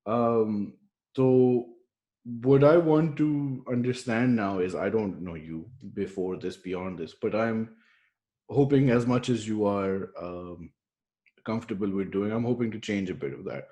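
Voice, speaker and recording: Low mellow host asking questions. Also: male voice, a little slow, dull audio. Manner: thoughtful, searching questions mixing English and Urdu. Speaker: podcast host. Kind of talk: casual video-call interview. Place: room at home, indoors. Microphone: headset on a video call.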